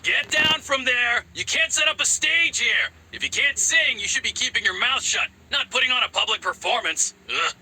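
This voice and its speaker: A man's voice: extremely high pitch, expressive, moderate pace, few pauses, pushed and bright, slight background noise, exaggerated voice, low-quality recording. A middle-aged actor playing a stern, protective father who scolds and sounds weary. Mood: angry. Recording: game cutscene with music behind.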